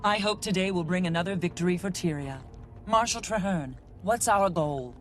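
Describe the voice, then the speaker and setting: An actor playing an earnest, loyal hero commander in a video game, speaking directly and practically. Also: male voice, high-pitched, moderate pace, lightly acted. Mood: hopeful, dutiful. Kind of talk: video game cutscene acting. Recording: voice over loud game music.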